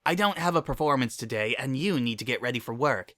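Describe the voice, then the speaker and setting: A man's voice: medium pitch, animated, quick pace, pushed and bright. A man voicing a playful, theatrical female cartoon unicorn, boisterous and mock-dramatic. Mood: easygoing.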